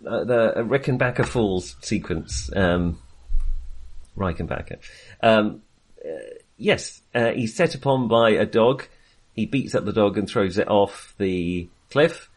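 A man's voice: lowish voice, measured pace. A man co-hosting a film podcast in casual conversation, with a hesitant, rambling and wry way of talking.

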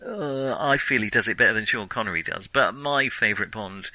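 A man with a strikingly bright, sharp voice on the lower side, recorded very dry. He talks casually about film as a podcast host, wandering through his thoughts in an amused, conversational way. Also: fairly quick, no room sound, poor audio.

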